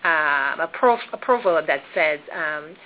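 Low-voiced Trinidadian woman, phone-thin audio. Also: animated, measured pace, narrow, tinny audio. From Trinidad and Tobago. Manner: thoughtful, hesitant, many ums and restarts. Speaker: guest. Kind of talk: interview conversation.

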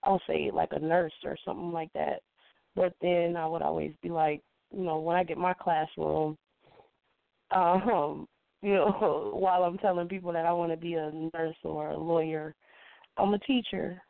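A congested woman recovering from the flu, speaking deliberately and reflectively as an interview guest who is a poet and educator. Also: on the low side, fairly even intonation, creaky, dry recording. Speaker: featured guest, spoken word poet and educator. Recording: muffled phone-quality call-in line.

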